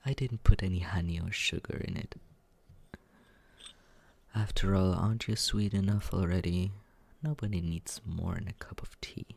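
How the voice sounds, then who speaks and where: A man playing a smug, condescending but secretly caring young roommate in a teasing ASMR roleplay, with breathy, husky whispering and murmuring. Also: sits low, fairly even intonation, darkish tone, a little hiss or hum. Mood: mocking, playful. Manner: teasing, condescending, slow drawl.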